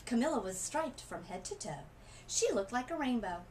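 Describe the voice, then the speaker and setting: Woman, children's read-aloud narration, highly animated intonation, dramatic pauses, faint ambient noise. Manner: expressive, dramatic pauses and emphasis. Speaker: literacy coach reading aloud.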